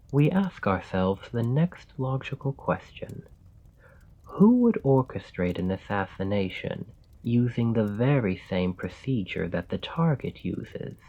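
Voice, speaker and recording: Guy doing a calm scheming king type for asmr roleplay, really deep and slow, formal, kinda muffled audio. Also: heavily acted. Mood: knowing, composed. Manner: measured, formal, deliberate speech. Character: calm, calculating male royal bargaining with his assassin. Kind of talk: ASMR roleplay, scripted dramatic monologue.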